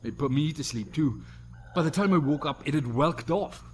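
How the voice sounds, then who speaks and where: A man's voice: on the high side, animated, normal speed, darkish tone, close to an everyday voice. A man playing a bumbling, jokey officer in a sci-fi comedy audio drama. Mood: breezy, matter-of-fact. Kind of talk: audio drama comedy acting, partly reading aloud.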